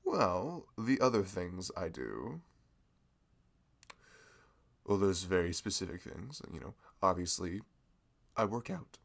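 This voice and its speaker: A man's voice: low voice, sing-song, takes it slowly, vocal fry, pauses often, fairly bright tone, exaggerated voice. A man voicing a friendly, nerdy young florist in an ASMR roleplay, rambling, laughing often and teasing himself. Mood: chatty.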